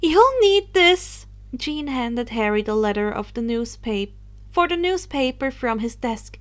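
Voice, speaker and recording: High-voiced woman narrating a story. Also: unhurried. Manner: stumbles and corrects misread words. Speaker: amateur audiobook reader.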